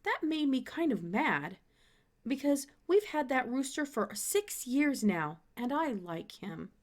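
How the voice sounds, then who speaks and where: A woman reading a children's storybook aloud in an expressive narrating style. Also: sits high, slow pace. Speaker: storybook reader.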